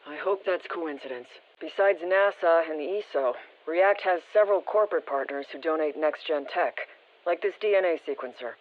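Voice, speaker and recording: A woman's voice: low voice, normal speed. A woman playing a sober female field briefer in a video game, informative and restrained, through a radio filter. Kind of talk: scripted video game voice lines. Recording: muffled, band-limited radio transmission.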